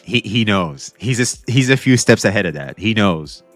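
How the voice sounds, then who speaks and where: A young man hosting a casual reaction stream, talking back to a video with excited, repetitive commentary. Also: fairly low pitch, normal speed, no room sound, soft background music. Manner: excitable, talks back to the video, repeats himself.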